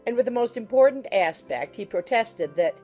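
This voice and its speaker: A woman's voice: on the high side, animated, normal speed, mellow, faint music behind. A woman narrating a classic novel as a scripted audiobook.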